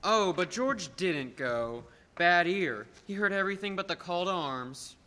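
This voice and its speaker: A man's voice: high-pitched, animated, unhurried, sharp tone, heavily acted. A man playing a cold, sneering, miserly old banker in an old-time radio play.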